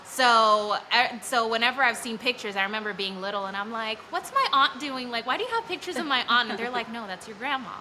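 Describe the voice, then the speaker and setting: A woman's voice: a little high, lively intonation, fairly quick, few pauses, pushed and bright. Young woman from nyc chatting, lots of likes and ums, noisy crowd around her. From New York City. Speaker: guest, bakery employee. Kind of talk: casual chat. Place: bakery during a birthday event. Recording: noisy live event.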